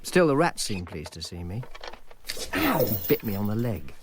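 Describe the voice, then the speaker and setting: An actor playing a sardonic Roman informer who narrates in the first person. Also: male voice, on the low side, big pitch swings, moderate pace, background noise. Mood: wry. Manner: dry, self-mocking.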